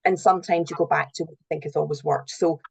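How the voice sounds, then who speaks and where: A woman's voice: low-pitched, steady tone, fast, creaky, breathy edge. A middle-aged woman giving earnest, explanatory answers as a guest in a conversational interview. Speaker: interview guest, recruitment and HR professional.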